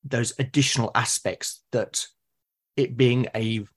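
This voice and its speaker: A man's voice: medium pitch, fairly even intonation, takes it slowly, husky. A British man speaking as a podcast guest, explaining things earnestly in casual conversation. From Britain.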